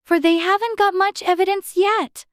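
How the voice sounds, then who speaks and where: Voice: very high voice, fairly even intonation, normal speed, fairly bright tone, no echo, clean studio sound, voice pushed up. A narrator voicing a curious, prim little girl in a storybook reading.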